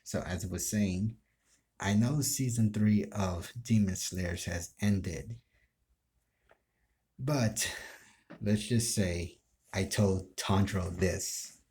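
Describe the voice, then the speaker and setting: A man's voice: lowish voice, a little mellow. A man talking casually and informally to viewers about his own role play, rambling a little. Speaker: amateur role-play video creator.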